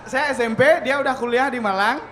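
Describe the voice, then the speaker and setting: A man's voice: very high-pitched, a little fast, sharp tone. A man from Bali, a band member telling stories in a casual podcast chat, laughing often and acting out quoted speech.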